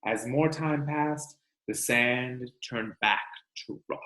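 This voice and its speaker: A man reading a book aloud to children like a teacher, expressive and instructional, pausing to explain. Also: medium pitch. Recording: roomy, echoing sound.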